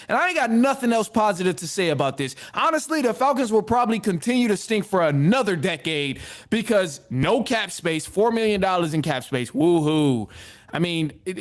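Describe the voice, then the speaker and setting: A man hosting a sports podcast, ranting casually in an exasperated, rambling way with slangy repeats and mild swearing. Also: high voice. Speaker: podcast host. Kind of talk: casual sports rant to listeners.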